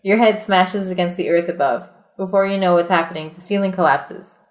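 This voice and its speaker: A woman podcast host reading a storybook aloud in an expressive way, with casual, playful asides. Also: on the low side, brisk, echoey room. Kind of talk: storybook read-aloud mixed with casual chat.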